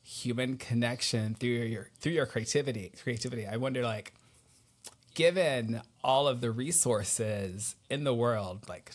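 A man hosting a casual interview podcast, playful and a little halting as he thinks aloud. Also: mid-range voice, husky. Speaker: podcast host.

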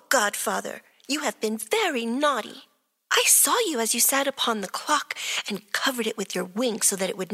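A woman playing a breathy, high sick young girl. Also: sharp tone, full character voice.

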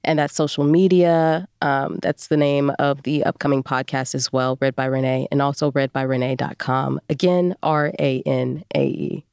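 Woman guest on a podcast just chatting, kinda low voice. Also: fairly even intonation, normal speed, hardly any pauses, darkish tone, clean tone, no echo, studio quality. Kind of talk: casual chat.

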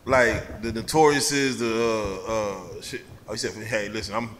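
A man's voice: lowish voice, moderate pace, a bit bright, a little hiss or hum. A man hosting a casual interview, asking long, rambling questions full of fillers. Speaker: podcast host. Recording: long reverb in a room.

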